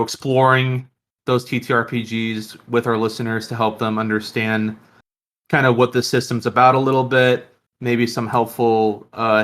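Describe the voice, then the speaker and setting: A man's voice: medium pitch, fairly bright tone. A man co-hosting a podcast in casual, rambling, jokey conversation. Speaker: podcast co-host.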